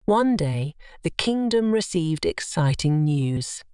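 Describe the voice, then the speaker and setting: Animated, low-voiced woman storyteller. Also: slow pace, clean tone, no room sound, clean studio sound. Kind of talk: scripted children's bedtime story narration.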